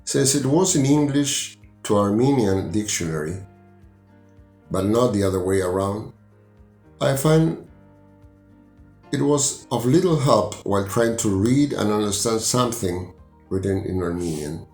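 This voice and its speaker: An older man in his sixties with an Argentine Spanish accent reads his personal story at a measured, deliberate pace. His voice carries a roomy echo, and soft music plays faintly underneath. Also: on the low side, expressive. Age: 60s. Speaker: language student. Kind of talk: read personal testimony.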